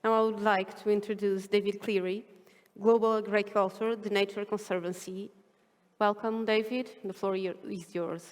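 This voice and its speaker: A woman's voice: medium pitch, flat delivery, normal speed. Lady moderator, short formal intros, hall echo all around. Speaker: panel moderator. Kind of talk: introducing speakers.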